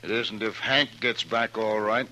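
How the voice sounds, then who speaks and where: Hard, hot-tempered rancher, forceful bright voice. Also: male voice, fairly low pitch, fairly even intonation, unhurried. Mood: grim. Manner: blunt, forceful, folksy Western speech. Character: hard, hot-tempered Texas rancher father, stubborn and straight. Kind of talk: 1950s radio western acting. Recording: noisy old 1950s radio recording.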